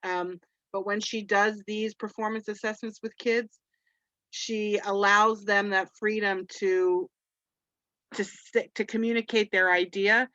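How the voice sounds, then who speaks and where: A woman's voice: mid-range voice, fairly even intonation, unhurried, a bit bright, no room sound. Chatty Canadian woman on a muffled call. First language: French. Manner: chatty, rambling, many "you know" fillers. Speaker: webinar host. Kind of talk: casual webinar talk to teachers.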